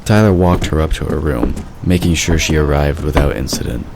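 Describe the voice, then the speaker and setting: A man narrates a fantasy audio drama in an extremely low voice. The delivery is scripted storytelling, with clear noise in the background. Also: a little fast.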